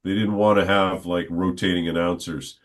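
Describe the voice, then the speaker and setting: A middle-aged man, a stadium announcer, telling stories in a relaxed, good-humored interview. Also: low voice, normal speed. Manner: good-humored, reflective, talks at length. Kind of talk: casual storytelling in an interview.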